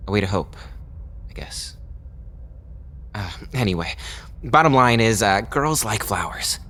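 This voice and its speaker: A wry, easygoing lieutenant in an audio drama. His lowish voice moves up and down freely as he needles like an old friend, over faint background noise. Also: lively intonation, a little slow. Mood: wistful. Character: teasing, easygoing male lieutenant and loyal friend.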